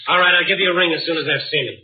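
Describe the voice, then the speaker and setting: Hard-boiled radio hero, very husky, dry sardonic slangy delivery, thin vintage broadcast sound. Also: male voice, on the high side, rapid-fire, phone-quality sound. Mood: concerned, brisk. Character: wry, hard-boiled male newspaperman hero. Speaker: lead and narrator. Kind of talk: old-time radio drama acting. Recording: thin band-limited old radio recording.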